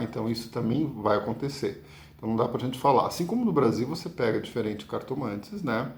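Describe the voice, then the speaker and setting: Gravelly Brazilian man teaching in an echoey room. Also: medium pitch. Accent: Brazilian Portuguese. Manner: patient, ends points with tag questions. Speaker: card-reading teacher. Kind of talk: explanatory lesson.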